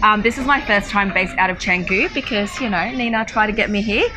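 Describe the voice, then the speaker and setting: A woman's voice: medium pitch, brisk, poor audio. A woman from Malaysia speaking casually as an interview guest, explaining her work and giving advice. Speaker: interview guest, digital marketer.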